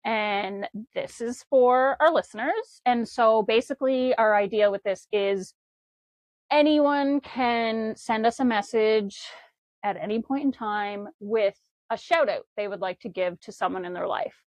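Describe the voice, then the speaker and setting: A woman's voice: fairly high pitch, unhurried, no room sound. Chatty woman podcast co-host, dry sound. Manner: chatty, rambling, laughs easily. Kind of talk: casual podcast chat. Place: home with a wood stove.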